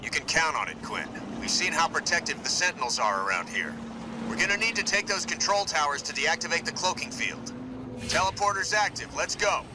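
An actor playing a gruff, decisive military squad commander in a video game, giving urgent orders. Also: male voice, fairly high pitch, sing-song, brisk, noisy background. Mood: brisk, resolute.

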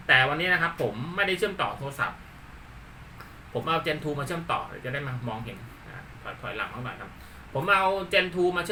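A man from Thailand with a very bright, forceful voice gives an informal hands-on demo. He repeats himself and peppers his talk with polite particles. He sounds some way from the mic, with faint noise around him. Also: medium pitch, recorded from a distance. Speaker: YouTube channel host. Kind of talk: casual hands-on product demonstration.

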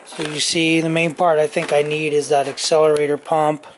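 A man's voice: a little high, little pitch movement, moderate pace, a little hiss or hum. A tired man in his forties chatting casually to camera in his home garage, rambling with lots of ums and self-corrections. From Southern California. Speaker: garage vlogger. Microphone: on-camera mic, camera at arm's length and on bench.

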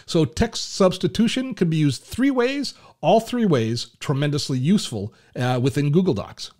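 A Canadian man in his fifties hosting a screen-share software tutorial from his home office, chatty and enthusiastic with self-deprecating asides. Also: on the high side, expressive, normal speed, a little hiss or hum. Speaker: tech channel host. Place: home office with shelves and artwork. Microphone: large studio mic on a boom arm.

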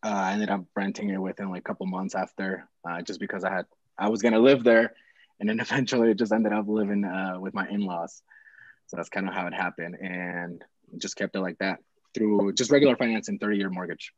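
A young man from Peru, speaking low and easy, tells a story in loose, rambling runs with lots of fillers and restarts. His voice comes through earbuds on a video call, with the dull, muffled tone of call audio. The sound is dry and close, with no room echo. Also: fairly even intonation, a little fast. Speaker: real estate investor guest. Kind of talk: casual video call chat. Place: small home room indoors.

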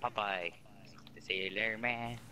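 A man's voice: on the low side, fairly even intonation, slow, bright, forceful tone, slight background noise. Sleep-deprived man babbling nonsense. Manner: babbling nonsense words and rhymes. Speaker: player in group game chat. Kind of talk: rambling casual gaming banter.

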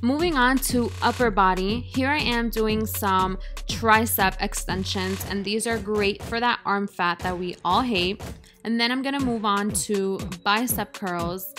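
A young woman talks through her workout in a relaxed, chatty voice-over, cheerfully poking fun at her own form. Her voice sits mid-range, with clear music running underneath. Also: medium pitch, measured pace. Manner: chatty, self-deprecating, upbeat. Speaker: fitness vlogger. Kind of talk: casual voice-over narration. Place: voice-over, not recorded in the gym.

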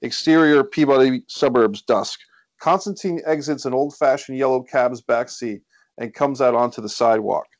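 A man's voice: mid-range voice, normal speed. A man reading stage directions as scripted narration at a screenplay table read.

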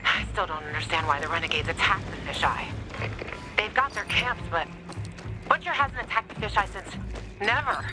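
A woman's voice: lowish voice, expressive, moderate pace, over loud music, lightly acted, rough recording. A woman voicing a tough, bitter young survivor in a video game, with a blunt, sardonic manner. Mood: puzzled, frustrated. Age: young adult.

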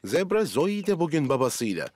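Lively male cartoon narrator. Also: on the high side, a little fast, soft background music. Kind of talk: scripted children's cartoon narration.

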